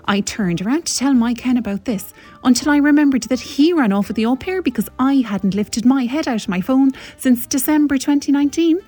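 A self-pitying suburban Cork wife in her forties. Her voice is high and a little husky, and she tells her woes in a dramatic, gossipy comic monologue over faint music. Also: a little fast, warm tone. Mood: aggrieved, self-pitying. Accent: Irish, Cork. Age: 40s.

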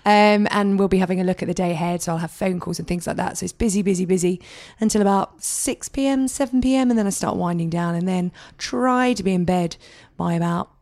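A woman in her forties with a husky, hoarse voice from a sore throat, chatting in a relaxed, self-deprecating way as a podcast guest. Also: fairly low pitch, fairly quick, no room sound. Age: 40s. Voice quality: husky, scratchy. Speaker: podcast guest, news anchor. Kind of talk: casual interview chat. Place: at home in her flat.

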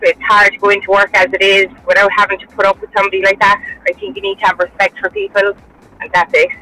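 A woman's voice: mid-range voice, flat delivery, fast talker, sharp tone, slight background noise, rough recording. A woman phoning in to a radio show, speaking firmly and asking pointed rhetorical questions. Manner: firm, opinionated, asks rhetorical questions. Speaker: caller. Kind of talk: phone-in call to a radio show.

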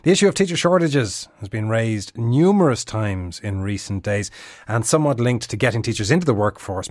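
A man's voice: fairly low pitch, dry recording, clean studio sound. An Irish man presenting a radio interview, probing his guest and cutting in to challenge him. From Ireland.

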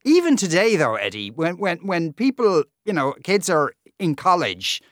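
High-pitched, lively Irish male radio interviewer. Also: normal speed, no room sound, clean studio sound. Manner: hesitant, repeating words as he forms questions.

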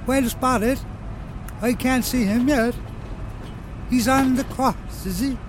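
An actor playing an odd, childlike older man in a radio drama, with an Irish accent and a halting, clipped way of talking. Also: very high-pitched, music in the background. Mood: dreamy, matter-of-fact. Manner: halting, naming things in clipped single words.

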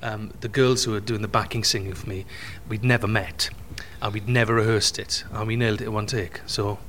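A man's voice: fairly low pitch, fairly even intonation, a little fast, fairly bright tone, slight background noise. A middle-aged Welsh man, a singer and presenter, joking about himself in a backstage chat. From Wales. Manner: jokey, self-deprecating. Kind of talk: casual interview chat. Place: backstage room.

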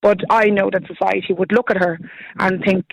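This is an earnest woman in her thirties who grew up in the Netherlands, calling in to a radio show. Her voice has a gravelly edge, and it's recorded very dry and close. She talks haltingly, restarting her sentences. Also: mid-range voice, flat delivery, no room sound, dull audio. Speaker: caller. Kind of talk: radio phone-in discussion.

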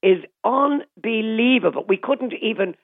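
A woman calling in for a castle hotel, telling its film history with animated excitement. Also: a little high, unhurried. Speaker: caller, hotel representative. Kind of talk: phone interview. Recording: tinny phone line.

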